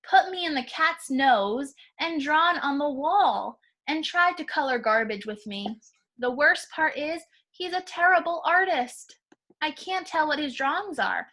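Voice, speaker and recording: A woman's voice: high-pitched, pushed and bright, full character voice. A woman voicing an exasperated storybook crayon, complaining that it has had enough. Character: exasperated crayon fed up with a baby owner.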